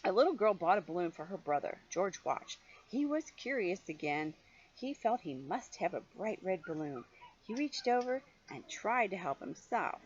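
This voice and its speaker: Older woman, grandmotherly storybook reader, lively and expressive pitch, slightly muffled home recording. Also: normal speed, husky. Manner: expressive, gently questioning the young listener. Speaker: grandmother reading a storybook to a child. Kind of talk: animated children's story reading with chatty asides.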